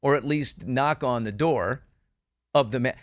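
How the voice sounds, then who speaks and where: A man's voice: mid-range voice, measured pace, no echo, clean studio sound. A man hosting a true-crime news discussion, speaking in an emphatic, opinionated way and posing rhetorical questions. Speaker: talk show host.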